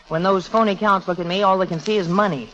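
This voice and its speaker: An actress playing a spirited young heiress, tart, poised and sardonic, in 1940s radio comedy style. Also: female voice, lowish voice, fairly even intonation, fast, fairly bright tone, soft background music, close to an everyday voice. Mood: scornful. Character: sharp-tongued, spirited young American heiress. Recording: muffled old radio recording.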